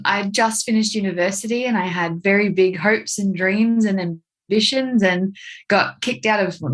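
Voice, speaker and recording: A young adult woman with an Australian accent, a guest on a podcast interview, talking in a chatty, candid way. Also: mid-range voice. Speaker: guest, marketing agency owner.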